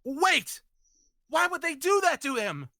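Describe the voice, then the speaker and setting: Man putting on a high, panicky young defendant. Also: very animated, a little slow, noisy background, full character voice. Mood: desperate protest. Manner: stammering, pleading, anxious. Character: panicky young male defendant accused of murder. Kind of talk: hobby video game dub.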